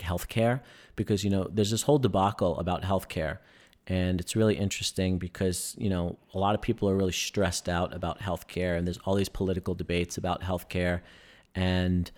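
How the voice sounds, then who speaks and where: A man's voice: sits low, a little mellow. A man, a guest on a podcast, explaining with enthusiasm in casual chat and many fillers. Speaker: podcast guest, breathing workshop instructor.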